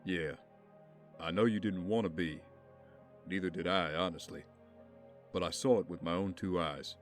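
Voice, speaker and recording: A somber, troubled man, played by an actor in an audio drama. His voice is very deep and serious as a hero's confidant. Faint music runs underneath. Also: normal speed, close to an everyday voice. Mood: grave.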